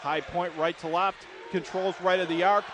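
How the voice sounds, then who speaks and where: A man's voice: high voice, fairly even intonation, moderate pace. A man doing live radio play-by-play of a basketball game as the announcer, describing the action in short clipped phrases. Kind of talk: live radio sports commentary. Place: indoor basketball arena. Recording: noisy live gym, bouncing ball behind. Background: loud game ambience, basketball bounces.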